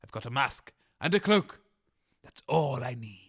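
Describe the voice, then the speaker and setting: A man's voice: mid-range voice, animated, measured pace, heavy background noise. A British man playing a conceited, mischievous radio co-host with a theatrical, pompous delivery in a comedy audio drama. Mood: scheming.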